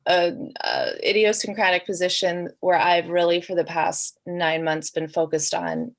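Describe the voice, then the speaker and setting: A middle-aged woman with a low-pitched voice speaks as a guest author. She talks casually and informally, in the manner of a literary panel. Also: very animated, unhurried, gravelly. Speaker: debut novelist, guest.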